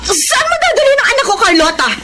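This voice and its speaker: A woman's voice: very high voice, big pitch swings, fast talker, heavy background noise. A woman acting a fierce, protective mother and wife in a radio drama, with a Filipino accent and an emotional delivery. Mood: furious, desperate.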